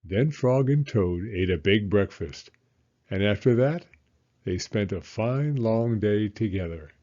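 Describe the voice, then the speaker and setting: A middle-aged man narrating for children in an expressive storytelling style. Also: lowish voice, unhurried, dull audio. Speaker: children's storyteller, elementary school teacher.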